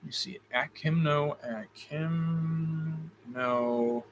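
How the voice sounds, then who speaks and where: A man's voice: on the high side, steady tone, extremely slow, lo-fi sound, slight background noise. A man from Illinois with a slight Midwestern accent, hosting a live Q&A. He reads viewer questions aloud and answers off the cuff, with plenty of ums and restarts. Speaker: host. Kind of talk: live stream Q&A.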